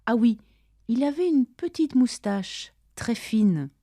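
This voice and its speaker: A woman reads her half of a scripted practice dialogue, slowly and with deliberate care so learners can follow. Her tone is warm and mellow, and the recording is dry and close, with no room sound. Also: on the high side, animated, dry recording. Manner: careful, deliberate delivery for learners. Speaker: language-lesson dialogue reader. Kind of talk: slow scripted dialogue, each line read twice.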